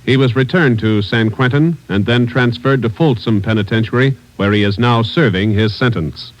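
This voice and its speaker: A man reading formal announcements in 1940s radio style, measured and even. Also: mid-range voice, rough recording.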